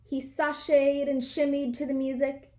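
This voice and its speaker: A woman reading a picture book aloud to children in an expressive storytelling style. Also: sits high, unhurried. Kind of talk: animated children's read-aloud narration.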